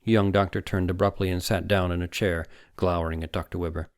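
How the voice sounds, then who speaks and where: A man narrating an audiobook story in a dramatic, suspenseful manner with deliberate phrasing. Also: sits low, flat delivery, clean tone, dry recording, studio quality. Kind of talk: dramatic scripted fiction narration.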